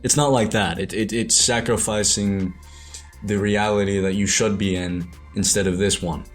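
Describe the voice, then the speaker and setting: Hesitant young Irish-born man, lowish voice. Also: fairly even intonation, moderate pace, soft background music. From Ireland. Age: young adult. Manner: hesitant, rambling, many restarts and self-corrections. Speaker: animator and show creator. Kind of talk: reflective monologue to camera.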